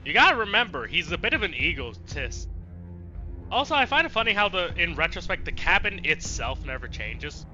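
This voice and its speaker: A man's voice: high voice, very animated, a little fast, pushed and bright. A man giving casual let's play commentary, rambling and chatty as he thinks aloud. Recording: slightly dull sound, faint game music. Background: faint game music.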